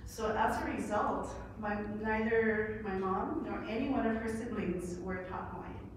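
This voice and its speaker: A woman's voice: mid-range voice, steady tone, measured pace. Woman in her 40s on a panel, earnest, really echoey room, mic far away, hum behind. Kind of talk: panel discussion talk. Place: large hotel meeting room.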